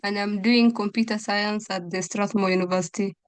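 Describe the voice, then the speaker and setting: A woman's voice: medium pitch, fairly even intonation, brisk, clean tone, no echo. A young woman, a computer science student, introducing herself politely on an online call. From Kenya.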